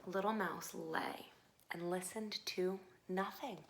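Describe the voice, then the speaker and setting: A woman narrating a children's picture book expressively, with dramatic pauses and hushed phrases. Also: on the low side, fairly even intonation. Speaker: storybook reader. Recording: faint background noise.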